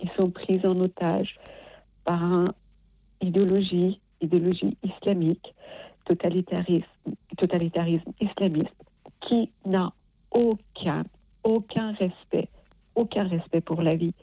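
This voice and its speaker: A woman from Iran talks over a very thin, boxy telephone line. Her voice sits fairly low, with a dry sound. She speaks earnestly and haltingly, circling back over phrases. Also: fairly even intonation, husky, no echo. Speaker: radio phone-in caller. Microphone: phone. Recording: tinny narrow phone line.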